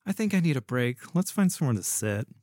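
A man's voice: mid-range voice, very animated, fast talker, a little mellow, no room sound. Sassy, impatient young woman, acted by a man. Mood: bored, impatient. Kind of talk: audio story dialogue.

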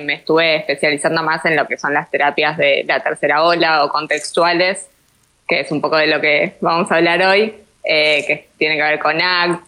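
A woman from Argentina with an Argentine accent, a psychologist guest explaining her work in a relaxed, thoughtful way with frequent restarts. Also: low voice, low-quality recording. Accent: Argentine Spanish. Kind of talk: casual interview chat.

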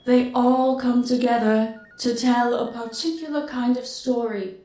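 Mystic fortune teller, female actor: slow, ominous, high-set voice, distant and echoey. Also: fairly even intonation, dark tone, close to an everyday voice. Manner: portentous, mystical.

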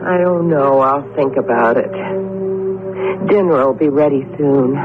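Lively teasing wife, thin radio sound. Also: female voice, rumbling low, narrow, tinny audio. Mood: mildly chiding. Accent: American. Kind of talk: 1970s American radio drama acting. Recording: noisy, thin 1970s AM radio recording.

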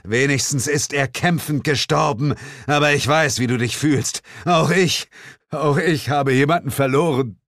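A man's voice: a little high, a little slow, a bit bright, dry recording, clean studio sound, voice pushed up. A man voicing a boastful, whiny ghost hunter in a comic audiobook, stammering and melodramatic. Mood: mock solemn. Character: hapless, boastful male ghost hunter, mock-heroic and whiny.

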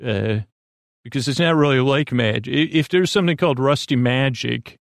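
A man hosting a sleep podcast, talking in a slow, meandering bedtime monologue full of fillers and restarts. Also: medium pitch, dry recording, studio quality. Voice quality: creaky, halting, many fillers and restarts.

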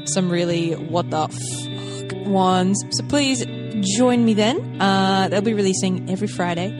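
A woman's voice: mid-range voice, unhurried. A woman podcast host giving a casual promo in a chatty, informal way. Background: guitar music.

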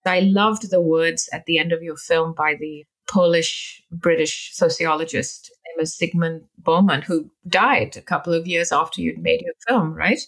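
Breathy, low-pitched woman host. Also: fairly even intonation, normal speed, few pauses, a bit bright. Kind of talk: radio-style interview.

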